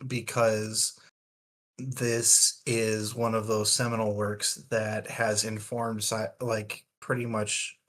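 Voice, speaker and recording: A man's voice: on the low side, a bit bright. A man co-hosting a casual book discussion, thinking aloud in long, winding points. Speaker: podcast co-host.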